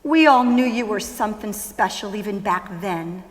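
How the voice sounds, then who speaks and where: A woman's voice: medium pitch, animated, lightly acted. A woman playing an affectionate, wry ageing mother in a musical theatre staged reading. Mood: fond, proud. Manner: wry, fond, unhurried. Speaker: stage actress. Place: school auditorium stage. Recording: echoey hall with long reverb.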